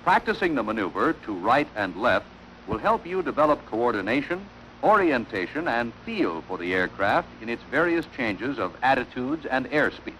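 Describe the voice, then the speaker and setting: A man delivering formal, measured instructional narration in the style of a wartime training film, on an old film soundtrack. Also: medium pitch, sing-song, a bit bright, a little hiss or hum, low-quality recording.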